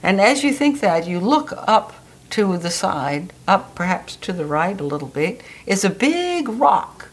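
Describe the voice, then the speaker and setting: A woman guiding a meditation in a soft, gentle, soothing way. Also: medium pitch, big pitch swings, a little slow. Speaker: meditation guide. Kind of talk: guided meditation.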